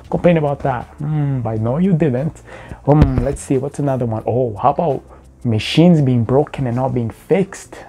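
A young man comments casually on gym clips, his voice mellow and unhurried in tone. He mocks lightly and keeps calling people bro. A soft music bed plays faintly under him. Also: medium pitch, gravelly. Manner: laid-back, mocking, says bro often. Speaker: reaction video host.

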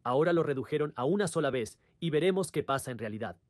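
A man's voice: on the high side, lively intonation, fast talker, no room sound, studio quality. A man presenting a scripted explainer in the manner of a dubbed video host.

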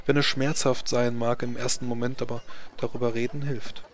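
A man voicing a gentle, consoling priestess in a tabletop roleplaying game, speaking patiently and soothingly. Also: medium pitch, little pitch movement, fairly quick, slight background noise, natural voice.

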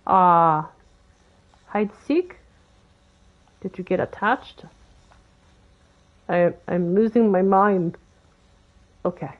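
A woman's voice: lowish voice, very slow, long pauses, muffled recording. A woman with a Boston accent, giving rambling, chatty commentary for a game tutorial. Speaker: game video creator. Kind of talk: casual gameplay tutorial commentary.

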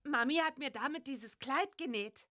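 A woman playing a curious, plucky young witch girl in a children's adventure game, with simple, expressive delivery. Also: high voice, normal speed. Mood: curious, matter-of-fact.

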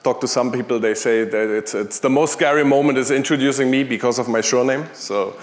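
A man's voice: medium pitch, fairly quick. German man giving a keynote on stage. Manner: conversational, with frequent fillers and restarts. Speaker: conference speaker, tech executive. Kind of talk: conference keynote talk. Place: large concert hall stage with audience. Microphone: headset mic.

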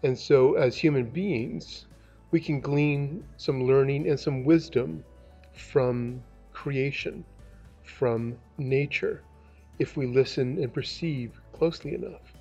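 Older pastor guy chatting a devotional at his webcam, husky and mellow, kinda dull lo-fi sound. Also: mid-range voice, takes it slowly, faint music behind. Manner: conversational, relaxed, musing with asides. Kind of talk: devotional talk and prayer. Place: indoors, large room with wood beams. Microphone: webcam, close framing.

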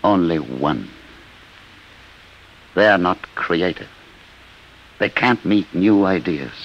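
Very deep, gravelly, grave inventor. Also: male voice, animated, heavily acted. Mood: urgent warning. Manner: grave, deliberate. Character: remorseful, grave inventor of humanoid robots. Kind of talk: 1950s radio drama acting. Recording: hissy, dull vintage radio recording.

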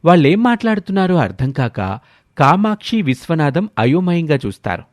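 A man's voice: a little high, very animated, a little fast, dry recording, clean studio sound. A man narrating a scripted moral story in a dramatic storytelling style.

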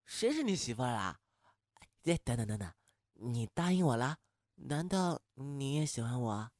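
A man's voice: medium pitch, very animated, normal speed, a little mellow. A man acting a flustered, impulsive young man in love, sighing and agitated, in a Chinese audio drama. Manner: muttering, agitated, sighing, self-questioning. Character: flustered, impulsive young man, shyly lovestruck with his male roommate.

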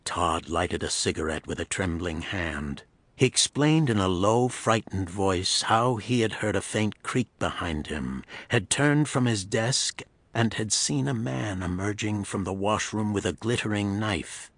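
Low-pitched male thriller narrator, dry sound. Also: unhurried, a bit bright, dry recording. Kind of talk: scripted pulp thriller narration.

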